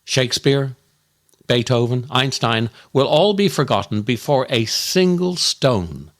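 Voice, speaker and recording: A man delivering a scripted comic monologue as a storyteller, with dramatic, deliberate and darkly comic delivery. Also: medium pitch, lively intonation. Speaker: comedian and podcast host.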